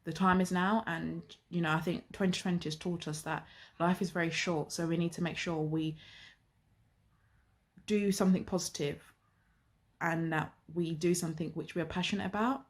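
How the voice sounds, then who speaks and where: A young woman speaking sincerely and in a measured way about youth taking part in change. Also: on the low side, steady tone. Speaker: youth advisory group member. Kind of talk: reflective talk.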